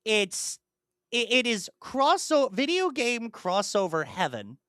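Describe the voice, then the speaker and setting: Podcast host, casual chat, animated delivery, lively intonation, dry recording. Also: mid-range voice, slow, no room sound. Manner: animated, rambling, mimics imagined dialogue. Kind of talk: casual chat, enthusiastic monologue about films.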